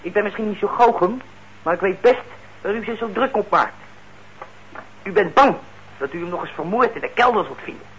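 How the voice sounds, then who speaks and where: A young ex-convict in a radio drama, with a high, tense voice. He talks bluntly and bitterly, defiant and wounded, on a dull old recording with slight noise. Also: lively intonation, a little fast, pauses often, a bit bright. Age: young adult. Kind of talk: Dutch radio play acting.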